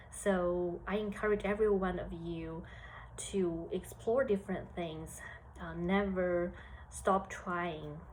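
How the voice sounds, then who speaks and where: A woman in her thirties from China with a Chinese accent, giving career advice at a measured pace with frequent pauses and fillers. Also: on the low side, slight background noise, poor audio. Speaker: senior HR analyst. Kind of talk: career advice talk to students.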